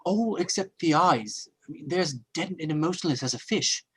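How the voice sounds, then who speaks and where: Actor as a husky, plain-spoken uneasy man. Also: on the high side, animated, moderate pace. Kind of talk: old-time radio drama acting.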